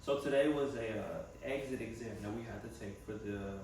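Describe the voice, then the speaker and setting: A young man thinks aloud in a casual, reflective vlog style. His voice sits at a medium pitch with plenty of gravelly fry. It is recorded some way from the mic in a strongly echoing room. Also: moderate pace, a little mellow. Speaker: vlogger and physical therapy assistant student. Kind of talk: casual personal vlog, chatting to camera. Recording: echoey room from a distance, faint noise.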